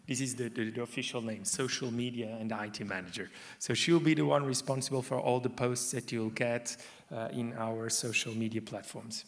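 A man's voice: medium pitch, fairly even intonation, moderate pace, hardly any pauses. A young man presenting his association's board candidates from a lectern in a conference hall, speaking loosely with many fillers.

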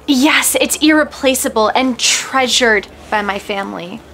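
A woman's voice: a little high, unhurried, vocal fry, fairly bright tone. An actress playing a fussy, earnest young woman passenger worried about her expensive bag. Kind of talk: scripted comedy sketch acting.

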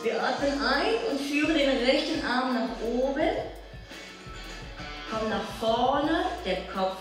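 A woman guiding a Pilates workout, calmly calling out the moves. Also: high voice, slow. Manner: calm, instructive cueing. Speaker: Pilates instructor. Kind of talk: guided workout instructions. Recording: distant, echoey workout video with music.

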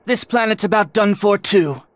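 A woman's voice: mid-range voice, normal speed, natural voice. A woman voicing an arrogant, cold young warrior prince in a video game, curt and commanding. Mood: bored, dismissive. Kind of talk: animated video game voice acting.

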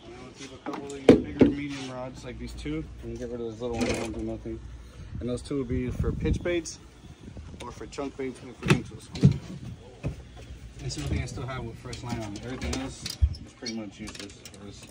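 A man's voice: mid-range voice, fairly even intonation, fairly quick. A man chatting casually while vlogging outdoors at a marina, talking shop about fishing rigs. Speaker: boat vlogger. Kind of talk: casual vlog chat. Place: outdoors at a marina and tropical resort. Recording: loud outdoor noise.

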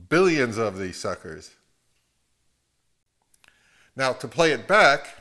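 A man's voice: medium pitch, a bit bright. A middle-aged man talking casually and unscripted to camera at home, hesitant, rambling and self-deprecating. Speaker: video host. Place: open living room at home, indoors.

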